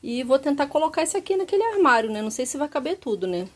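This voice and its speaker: A Brazilian woman in her thirties talks through her kitchen cleaning in a relaxed voice-over. Her voice sits a little high, and she rambles, repeating words and tossing in little tag questions. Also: quick pace. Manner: chatty, repeats herself, frequent tag questions. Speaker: home organization vlogger. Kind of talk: casual voice-over narration.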